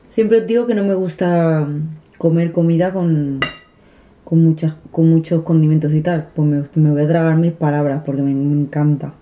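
A woman in her thirties, a family vlogger, chatting casually to the camera while eating at a table at home. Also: fairly low pitch. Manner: chatty, restarts often, soft. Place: small room at a dinner table, indoors. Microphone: camera on the table at close range. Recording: tinny, phone-like sound.

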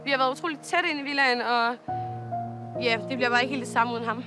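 A young woman speaks haltingly in a beach confessional, breaking off with small nervous laughs. Her voice sits high, and a clear music bed runs beneath her. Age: young adult. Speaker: reality show contestant. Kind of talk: confessional interview. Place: outdoors on a tropical beach. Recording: reality TV with music underneath.

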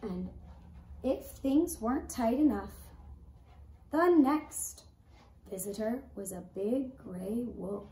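A woman telling a children's puppet story in a deliberate, expressive way, recorded from a few feet away indoors. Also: fairly high pitch. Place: indoor room set up as puppet stage. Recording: roomy echo, faint background noise.

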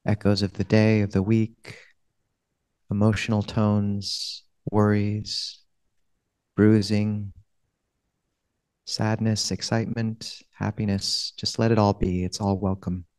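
A man with a fairly low voice guides a meditation in a gentle, unhurried way. His pitch stays very even, with long pauses between phrases. The sound is close and dry. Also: clear, resonant tone, no room sound. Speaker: monastic meditation teacher.

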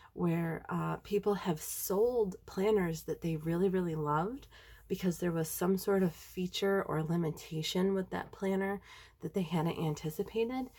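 Young woman, nasal and congested, warm husky tone, chatty tutorial host with lots of ums. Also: fairly low pitch. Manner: chatty, enthusiastic, many ums. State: nasal from a sinus infection. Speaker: planner video creator. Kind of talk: casual tutorial vlog. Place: indoors at a desk.

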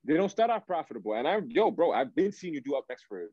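Man, dark mellow tone, slangy interview guest, dull dry call audio. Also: fairly high pitch, very animated, fast talker, husky, no room sound. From Canada, raised in Toronto. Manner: slangy, often checks with "right?". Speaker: guest, R&B singer. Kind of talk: casual interview chat. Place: indoor room.

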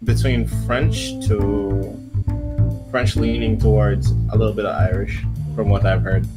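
Relaxed young Jamaican man on a headset. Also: on the low side, a little slow, vocal fry, loud music behind the voice. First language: Jamaican Creole. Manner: relaxed, explains at length with restarts. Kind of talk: casual video chat. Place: dim indoor room. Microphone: headset on video call.

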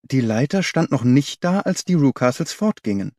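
Anxious young woman client, read by a man, eager, emphatic, lively intonation, dry storybook recording. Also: mid-range voice, moderate pace, no echo. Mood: earnest. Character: earnest, anxious young governess, female client.

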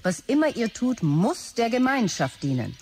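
An actress playing a brisk, stressed, commanding start-up boss in a German audio drama, dictating messages curtly. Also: female voice, a little high, very animated, a little mellow, soft background music. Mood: stern, solemn. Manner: curt, businesslike dictation of messages.

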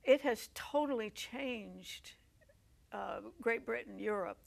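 Older woman, talk show host, animated, leading questions, lapel mic, studio. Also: a little high, slow pace, gravelly. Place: TV studio set with living room decor.

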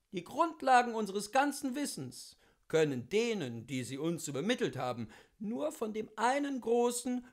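A man reading classic literature aloud in an expressive, theatrical and declamatory way. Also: high-pitched. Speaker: reader of literature.

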